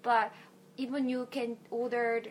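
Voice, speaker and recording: A high-pitched woman speaks English with a Korean accent, curious and chatty. She keeps asking questions, hesitating with fillers and murmuring agreement as she listens. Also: little pitch movement, unhurried, slight background noise. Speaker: conversation partner. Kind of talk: casual chat.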